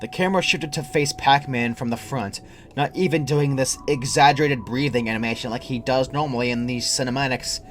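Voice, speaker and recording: A man's voice: medium pitch, brisk, slight background noise. A young adult man reading a scripted first-person creepypasta as its narrator. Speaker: horror story narrator.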